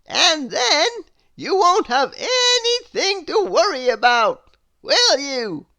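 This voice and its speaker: A woman's voice: very high-pitched, sing-song, slow pace, sharp tone, no room sound, studio quality, voice pushed up, heavily acted. A woman voicing a helpful male storybook wizard who speaks in an emphatic, theatrical, reassuring way.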